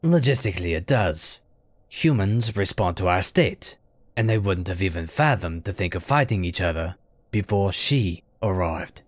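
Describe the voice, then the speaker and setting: A low, stern god who speaks with formal, accusing logic. The audio drama voice is matter-of-fact and closely, dryly recorded. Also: male voice, expressive, moderate pace, no echo. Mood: stern, factual.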